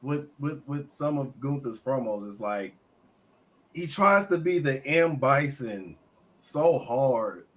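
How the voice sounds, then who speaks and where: A man with a slightly raspy voice, co-hosting a casual wrestling review and talking in animated bursts with lots of repeated words. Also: medium pitch, slow pace. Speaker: podcast co-host.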